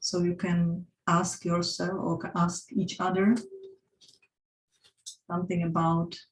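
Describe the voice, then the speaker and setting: A woman's voice: lowish voice, monotone, slow pace, echoey room, low-quality recording. A woman with a Czech accent presenting a workshop talk with slides, stopping often to restart her sentences. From Czech Republic. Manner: hesitant, frequent restarts and fillers.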